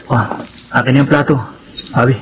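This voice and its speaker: A male actor's protective young Filipino brother in his twenties, from a radio drama. His voice sits at a middle pitch, with slight noise behind it. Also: steady tone, normal speed, dull audio, lightly acted. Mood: softened. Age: 20s. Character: dejected yet protective son and brother, twenty-five.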